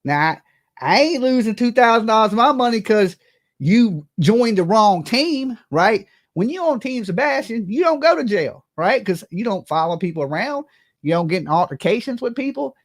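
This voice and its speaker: A livestream host with a medium-pitched voice delivers casual, gossipy commentary. The talk is brash and salted with profanity, and points are often capped with a checking "okay?" or "right?". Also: big pitch swings, normal speed.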